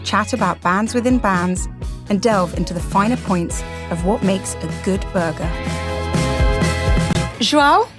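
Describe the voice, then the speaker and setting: A woman's voice: mid-range voice, lively intonation, unhurried, long pauses. A woman hosting and cooking for a lunchtime music show, talking to her guest in a friendly, familiar way. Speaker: host and chef. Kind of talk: hosted interview with scripted intro.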